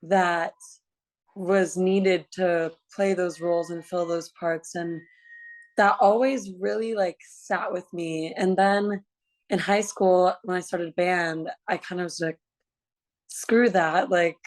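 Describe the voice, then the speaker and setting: A woman in her twenties with a low, raspy voice, chatting eagerly as a musician guest on a video call, full of likes and restarts. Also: fairly even intonation, slow pace. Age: 20s. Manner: enthusiastic, many likes and restarts. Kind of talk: casual interview chat.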